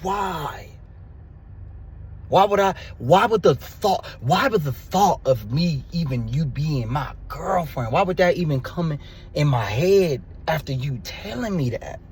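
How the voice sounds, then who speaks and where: Brief: young man in his twenties, slightly high voice, crude and animated, ranting about dating with lots of repetition. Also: a little slow, a little hiss or hum. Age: 20s. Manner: crude, animated, repetitive, swings between low mutters and loud outbursts. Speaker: dating commentator. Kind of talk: casual storytelling rant.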